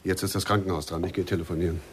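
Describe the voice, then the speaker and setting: An actor playing a brisk, matter-of-fact doctor on call, with a clipped manner, in a radio crime drama. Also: male voice, low-pitched, little pitch movement, slight background noise, natural voice.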